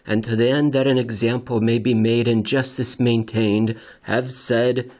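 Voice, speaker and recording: A man hosting a podcast, reading dramatically and commenting conversationally, with deliberate, theatrical phrasing and pauses for effect. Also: mid-range voice, flat delivery, darkish tone. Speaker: podcast host and reader.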